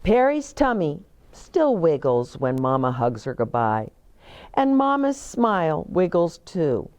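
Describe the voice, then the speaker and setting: An older woman reading a picture book aloud and speaking deliberately and simply, as if to small children. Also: deep voice, very animated, a little mellow. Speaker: children's storytime reader and host. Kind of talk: expressive picture-book read-aloud with asides to young children. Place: indoor bookshop-like set with shelves, seated in armchair.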